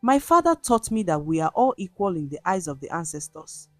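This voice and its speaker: A woman's voice: fairly low pitch, very animated, a little fast, dry recording, faint music behind. A woman voicing a kind, proud young fishing woman in a storybook tale. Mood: earnest.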